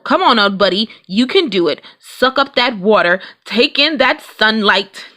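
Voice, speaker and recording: Eager storybook papa bear, played by a woman, folksy and coaxing, with a bright tone. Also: on the high side, animated, a little slow.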